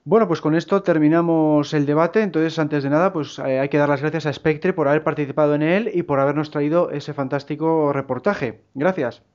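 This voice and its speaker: A man's voice: a little high, fairly quick, hardly any pauses, darkish tone. A man hosting a casual discussion, putting questions to his guests and acknowledging them. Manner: asks guests questions, frequent acknowledging murmurs. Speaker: debate host. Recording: muffled lo-fi audio.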